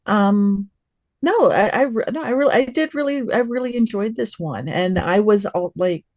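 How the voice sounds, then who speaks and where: A woman with a mid-pitched voice talks as a podcast panelist. She chats casually and expressively, voicing little reactions as she goes. The recording is dry and close. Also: moderate pace, a little mellow, no room sound. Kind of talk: casual review chat.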